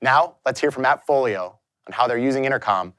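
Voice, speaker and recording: Male product demo narrator, medium pitch, scripted delivery, very dry recording. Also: brisk, fairly bright tone, clear, resonant tone, dry recording.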